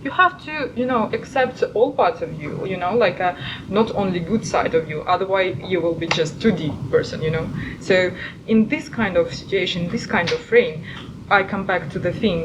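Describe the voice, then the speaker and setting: A woman's voice: mid-range voice, fast. A woman hosting a podcast in a casual discussion, searching for words as she talks, with many fillers and restarts. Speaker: podcast host. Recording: muffled recording with clear background noise.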